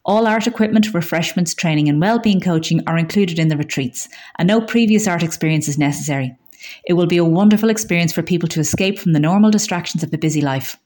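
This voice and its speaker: A woman's voice: on the low side, fast talker, darkish tone. A woman with an Irish accent reading scripted local news as a community radio newsreader.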